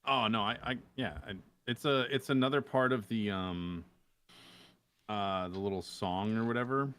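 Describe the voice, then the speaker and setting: Male gaming guest: fairly low voice, informal chat, feels his way haltingly through explanations. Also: fairly bright tone, clean tone. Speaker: podcast guest and roleplay gamer. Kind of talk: casual chat.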